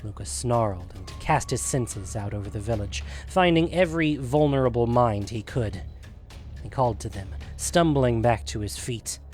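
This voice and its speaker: A man narrates a fantasy story with a medium-pitched voice. His delivery is measured and dramatic, following a script. Soft music plays behind him. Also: expressive.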